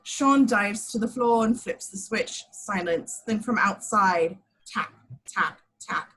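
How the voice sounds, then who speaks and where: A woman's voice: mid-range voice, takes it slowly, fairly bright tone, husky, low-quality recording. A woman reading screenplay action lines as the narrator of a table read. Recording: home recording.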